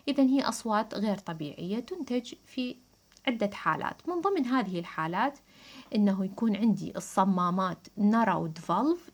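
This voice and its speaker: A woman with an Iraqi Arabic accent giving a lecture, explaining didactically, switching between Arabic and English technical terms and restating key points. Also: mid-range voice. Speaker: physiology lecturer.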